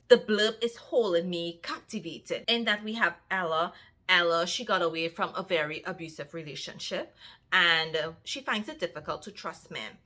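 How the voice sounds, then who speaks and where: Lively Trinidadian woman, bright voice. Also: mid-range voice. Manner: lively, chatty, talks straight to viewers. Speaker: book vlogger. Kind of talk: casual chat to camera.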